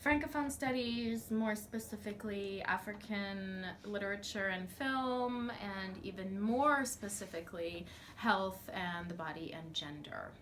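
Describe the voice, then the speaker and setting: Woman academic talking fast n eager in an interview, faint background hum. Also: medium pitch, fairly bright tone. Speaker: interviewee, scholar of Francophone studies. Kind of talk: casual interview answers.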